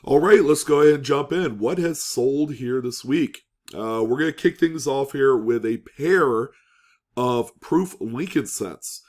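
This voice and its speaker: A man hosting a coin market show, talking casually with an upbeat manner and lots of fillers, his voice raspy and slightly hoarse. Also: medium pitch, measured pace, a little mellow. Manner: upbeat, filler-heavy talk with many "um"s and "uh"s. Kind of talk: casual presenting of auction results.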